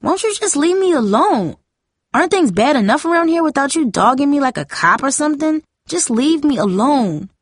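A woman's voice: mid-range voice, very animated, brisk, few pauses, husky, no room sound, clean studio sound. A woman voicing a defiant, defensive teenage girl with a heated, slangy way of talking, in a story reading. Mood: angry, defiant. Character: defiant, defensive younger sister, a school cheerleader.

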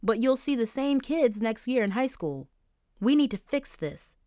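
A woman's voice: fairly high pitch, animated, unhurried, darkish tone, dry recording, clean studio sound, voice pushed up. Woman voicing an insistent worried mother. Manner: insistent, concerned questioning.

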